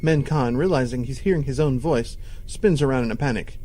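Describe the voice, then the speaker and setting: A man's voice: medium pitch, brisk, darkish tone. A man reading scripted narration as the narrator of an audio drama. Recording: music bed behind voice.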